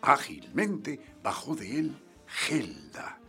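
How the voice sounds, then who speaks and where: A man narrating a radio play in the first person, telling his story with lively expression. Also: mid-range voice, takes it slowly, creaky, faint music behind.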